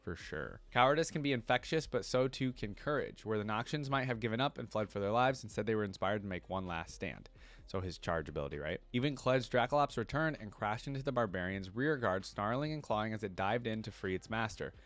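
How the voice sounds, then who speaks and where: A man's voice: fairly low pitch, expressive, fairly quick, fairly bright tone. A man hosting a reaction video, reading lore aloud in a casual way with musing asides. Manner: musing asides between read-aloud passages.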